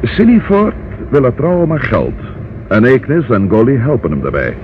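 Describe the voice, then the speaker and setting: An actor in a radio play playing a questioning, pondering police inspector with a deliberate manner. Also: male voice, mid-range voice, big pitch swings, close to an everyday voice. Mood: pondering, puzzled. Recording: tinny, noisy old radio recording.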